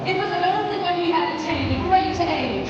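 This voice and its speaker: High, distant woman narrating a tale. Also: moderate pace, lo-fi sound, heavy background noise. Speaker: show narrator. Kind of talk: theatrical storytelling narration. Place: outdoor theme-park stage area. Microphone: phone camera from the audience. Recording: echoey distant theme-park crowd recording with music. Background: loud music.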